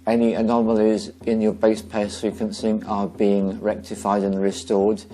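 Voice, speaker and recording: A man leading a guided meditation slowly and gently, pausing between short phrases. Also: lowish voice, little pitch movement, soft background music.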